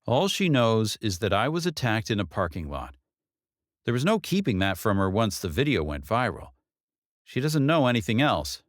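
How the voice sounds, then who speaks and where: Novel heroine read by a man: sardonic, guarded woman, slightly raised pitch, animated inflection, dry sound. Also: moderate pace, no room sound. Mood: wry. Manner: sardonic, plain-spoken, guarded. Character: wry, defiant woman under threat.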